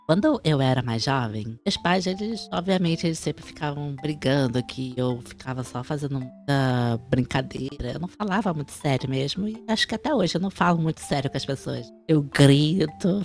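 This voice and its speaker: A woman's voice: low-pitched, darkish tone. A young woman with a Brazilian accent hosting a casual explanatory chat, with music under her voice. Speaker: video host.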